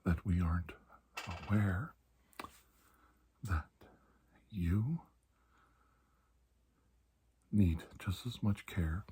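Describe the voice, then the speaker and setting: A low, mellow male doctor, played by a man in an ASMR roleplay, calm and reassuring. He speaks slowly and deliberately in a soft, breathy voice that often drops toward a whisper, soothing a patient. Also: slight background noise. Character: calm, reassuring male doctor treating alien skin disease.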